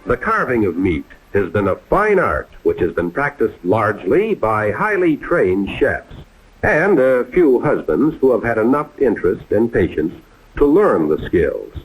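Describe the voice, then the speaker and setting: Husky, animated male 1950s narrator. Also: on the low side, unhurried, low-quality recording. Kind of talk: 1950s training film scripted narration.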